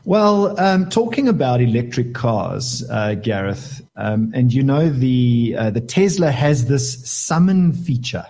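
A man with a mellow, slightly husky voice, talking in a relaxed, halting way with lots of ums and uhs. He sounds like a regular tech contributor on a casual radio chat. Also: medium pitch. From South Africa. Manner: relaxed, hesitant, many fillers.

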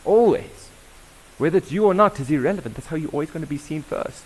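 A young South African man giving an interactive conference talk, firing questions at the audience in a lively way. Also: a little high, fast, rough recording. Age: young adult. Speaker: diversity workshop presenter.

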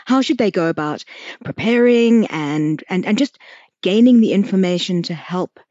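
A woman's voice: medium pitch, animated, moderate pace, dark tone, muffled recording. A woman with a South African accent, presenting as a podcast host, moving between conversational interviewing and prepared segments.

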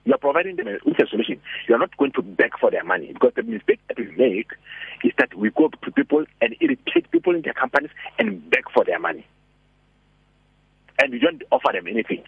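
A man with a raspy voice, a radio guest giving animated, persuasive motivational talk by phone. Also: mid-range voice, quick pace, dry recording. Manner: animated, persuasive, asks rhetorical questions. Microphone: telephone. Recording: tinny dry phone line.

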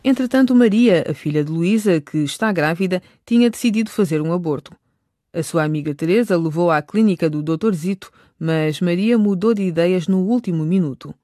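A woman's voice: lowish voice, a little fast, dark tone, no room sound, studio quality. A woman narrating a radio drama episode with a scripted recap.

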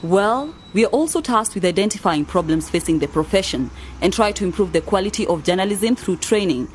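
A woman acting a brisk, authoritative press council director in a scripted radio drama. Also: mid-range voice, expressive, a little hiss or hum, natural voice. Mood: official, explaining. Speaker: actor in an educational radio drama.

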